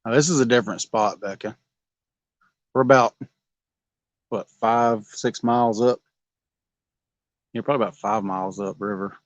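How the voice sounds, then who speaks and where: A man's voice: lowish voice, fairly even intonation, moderate pace, long pauses, no echo, muffled recording. Folksy man in his forties. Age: 40s. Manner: folksy, joking, coaxes the fish aloud. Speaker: fishing vlog host. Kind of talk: casual chat while night fishing. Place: outdoors on a lake at night.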